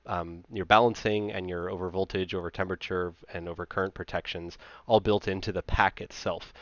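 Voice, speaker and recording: Male presenter, 30s. Low voice, close dry sound, conversational technical explainer. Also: a bit bright, no echo, highs cut off. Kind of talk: informal technical slide presentation.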